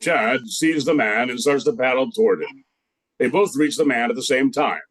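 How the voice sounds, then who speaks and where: A man's voice: medium pitch, moderate pace, no room sound, rough recording. An older man narrating a scripted radio play in a storytelling style.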